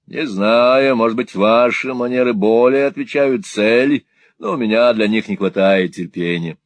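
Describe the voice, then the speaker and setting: A man's voice: medium pitch, normal speed, no room sound, pitched above the performer's own voice, lightly acted. A man voicing a cold, terse older male moneylender who speaks in dry, cutting remarks in an audiobook reading.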